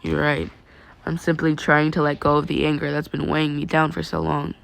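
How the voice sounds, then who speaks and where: A woman voicing a stoic, awkward teenage boy in an audio story, flat, quiet and sincere. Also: low-pitched, brisk, gravelly, rough recording. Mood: subdued.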